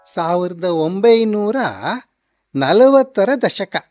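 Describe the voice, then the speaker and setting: A narrator telling a humorous period story in scripted radio drama narration. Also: music in the background. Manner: slow, storyteller delivery with lively pitch.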